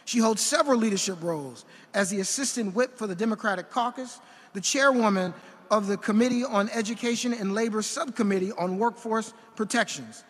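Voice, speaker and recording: A man reading a formal resolution from a podium microphone in a large indoor arena, measured and ceremonial, with occasional slips. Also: sits high, fairly bright tone. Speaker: university official presenting an honorary degree. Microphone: podium gooseneck microphone. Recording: long reverb of a big arena.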